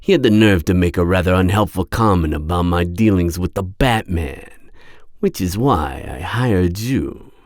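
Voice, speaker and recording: A man's voice: low voice, animated, normal speed, no room sound, clean studio sound. A man playing an imperious crime boss in an audio roleplay drama, smug, cold and businesslike. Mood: smug, disdainful.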